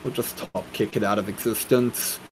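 A man's voice: on the low side, steady tone, normal speed, slight background noise, rough recording. A man giving casual live gameplay commentary as a livestreamer. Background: game music.

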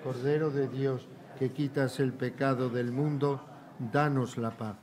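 An older man leading Mass as a priest, reciting liturgical prayers in a measured, solemn way in a large church. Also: mid-range voice, steady tone, a little mellow. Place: large church sanctuary, indoors.

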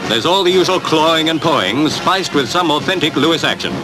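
A man's voice: on the high side, animated, brisk, low-quality recording. A British man reading scripted 1950s newsreel commentary. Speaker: newsreel narrator. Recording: old 1950s newsreel soundtrack, loud music. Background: loud music.

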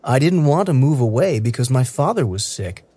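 Brief: male reader for learner audio, careful diction, lively intonation, dry booth sound. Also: medium pitch, moderate pace, dry recording. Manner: clear, careful, evenly paced delivery. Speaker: English listening practice reader. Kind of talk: slow scripted reading for learners.

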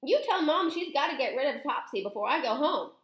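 A woman's voice: mid-range voice, very animated, normal speed, a bit bright, reverberant. A woman voicing a cheerful, greedy little boy who has become a mouse in a storybook, grinning and carefree. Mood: insistent.

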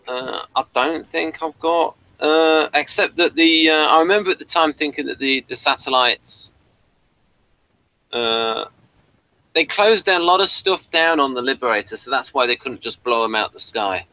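A man's voice: fairly high pitch, normal speed, pushed and bright, poor audio. A man co-hosting a casual chat, hesitant and filling his sentences with uhs. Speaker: podcast co-host.

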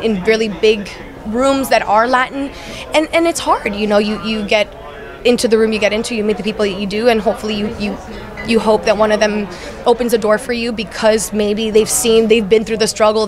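A woman's voice: medium pitch, steady tone, a little fast, music in the background. A young woman from Miami, an actress being interviewed at an event, talking earnestly with many restarts into a handheld mic. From Miami, USA. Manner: earnest, frequent restarts and fillers. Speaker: actress guest. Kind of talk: casual interview chat. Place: event backdrop, crowded venue. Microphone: handheld mic held by interviewer. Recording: crowd chatter behind.

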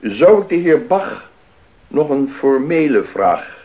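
A man's voice: mid-range voice, sing-song, takes it slowly, a little mellow, muffled recording. A man playing a stern, pompous, pious councillor in a radio play, with formal, emphatic delivery. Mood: stern, officious. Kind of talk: 1980s Dutch radio play acting.

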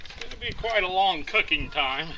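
An older man hosting his own cooking video, narrating in a slow, plainspoken way while he grills in a snowy rural yard. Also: high-pitched, expressive. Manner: slow, plainspoken, talking to himself as he works. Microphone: camera on a tripod some distance away. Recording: outdoor camera audio with loud wind and yard noise.

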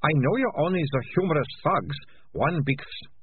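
A man putting on a sharp, bossy young girl. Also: fairly high pitch, expressive, normal speed, a little hiss or hum, voice pushed up, close to an everyday voice. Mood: smug. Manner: snappy, accusing. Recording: tinny phone-like sound.

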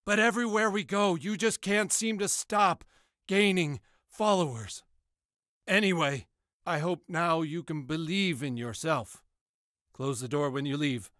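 A man's voice: fairly high pitch, measured pace, fairly bright tone, dry recording, studio quality. A man playing a paranoid, foul-mouthed, bumbling cartoon dad in a comedy video game, with a jittery, whiny delivery. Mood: earnest, confiding. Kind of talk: animated comedy game voice acting.

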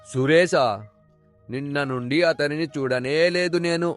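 A man's voice: a little high, unhurried, clear, resonant tone, close to an everyday voice. Man playing a fretful villager, faint music. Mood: puzzled. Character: worried village man, plain-spoken, fretful. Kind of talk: animated moral story dialogue.

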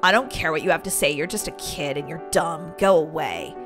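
A woman's voice: deep voice, fairly quick, over loud music, close to an everyday voice. A woman playing a mean, bossy, dismissive woman who scolds orphan kids in a children's pretend-play story. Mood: snappish, scornful.

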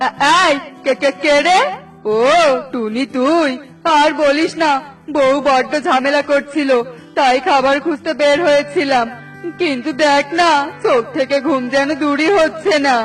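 Henpecked cartoon bird husband played by a woman, high-pitched, lazy, grumbling, with faint music behind. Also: measured pace, poor audio. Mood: drowsy, grumbling. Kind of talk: Bengali children's cartoon dialogue.

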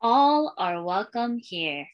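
Lively, unhurried woman reading to children. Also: medium pitch, dull audio. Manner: clear sing-song storytelling for young children. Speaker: elementary school librarian reading aloud. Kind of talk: children's picture book read-aloud. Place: small indoor room with bookshelves and curtain.

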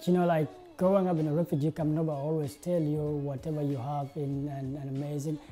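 An earnest young man speaks to the camera in a studio. His voice is very dark and mellow, and his talk is full of "uh" and "like". Quiet music plays under him. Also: fairly high pitch, little pitch movement, fast. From South Sudan. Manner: earnest, many "uh" and "like" fillers. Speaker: interviewee, game designer. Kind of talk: interview monologue to camera. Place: indoor studio with plain backdrop.

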